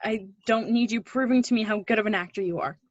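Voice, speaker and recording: A woman's voice: medium pitch, fast talker, no room sound, muffled recording, lightly acted. A woman playing a cold, bitter acting teacher, harsh and disbelieving, in an amateur play readthrough. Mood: cold, accusing.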